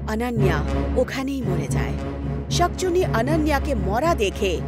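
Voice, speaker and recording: A woman's voice: on the high side, sing-song, measured pace, over loud music. A woman giving dramatic scripted narration of a horror story.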